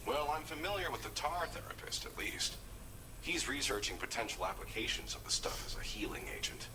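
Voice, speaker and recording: An actor playing a male video game character giving a measured, explanatory weapons briefing. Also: a little high, very animated, gravelly, noisy background. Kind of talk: scripted video game dialogue.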